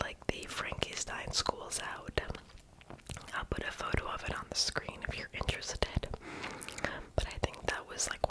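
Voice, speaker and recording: A young woman whispering a casual, rambling ASMR voiceover in a breathy, unvoiced voice, with soft mouth sounds. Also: poor audio. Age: young adult. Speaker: ASMR video creator and host.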